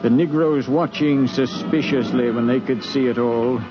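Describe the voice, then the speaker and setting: A man acting a grave, orderly ship's captain in a 1950s radio drama, formal and measured, sombre in his telling. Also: mid-range voice, steady tone, over music, natural voice. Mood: sombre narration. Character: grave, orderly slave-ship captain narrating his voyage. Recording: muffled, hissy 1950s radio recording.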